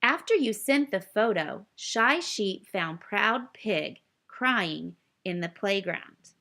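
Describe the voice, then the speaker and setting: Woman reading a measured, wise old owl. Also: medium pitch, lively intonation, gravelly, bright, forceful tone, full character voice. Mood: gently reproving. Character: wise old male owl, gray, calm and instructive. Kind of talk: children's storybook read-aloud.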